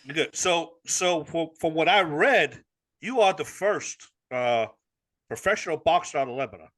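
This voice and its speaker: A man's voice: fairly high pitch, lively intonation, moderate pace, a bit bright. A man hosting a boxing interview show, asking questions in an enthusiastic, chatty way. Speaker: interview host.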